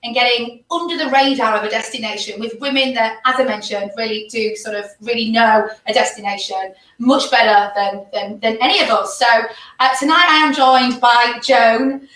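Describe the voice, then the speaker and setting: A woman's voice: fairly high pitch, normal speed, a bit bright, echoey room, distant mic, low-quality recording. A woman hosting a live online travel chat, upbeat and enthusiastic, with frequent fillers. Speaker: host.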